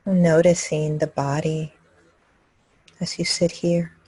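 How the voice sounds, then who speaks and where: Female meditation guide, calm and level in tone, slightly breathy rasp, close dry sound. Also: low voice, no echo. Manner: calm, unhurried, with long pauses between phrases. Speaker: meditation teacher leading a live group session. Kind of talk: guided meditation, slow and gentle instruction.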